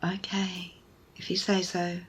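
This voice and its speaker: A woman's voice: lowish voice, monotone, takes it slowly. A woman playing a wry, evasive young rescuer in an intimate ASMR audio roleplay, with a sardonic, hesitant manner. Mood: awkward, reassuring. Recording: noisy ambience behind close voice.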